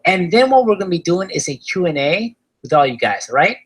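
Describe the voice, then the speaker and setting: A man with an Argentine accent giving an upbeat webinar sales pitch for his online course, often tagging his sentences with "right?". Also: big pitch swings, fairly quick, breathy edge. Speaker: course seller presenting a webinar.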